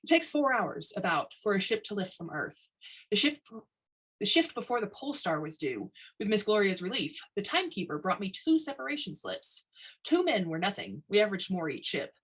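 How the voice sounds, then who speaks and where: A woman narrates a short story aloud in a husky voice at a middle pitch. She reads as a storyteller, carrying a first-person tale. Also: big pitch swings, normal speed, a bit bright, low-quality recording. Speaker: reader of short stories.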